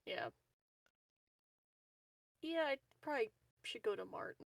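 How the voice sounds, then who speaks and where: A woman chatting casually as a player in a tabletop roleplaying game, with hesitant, halting phrasing. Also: fairly high pitch, animated, creaky, no echo.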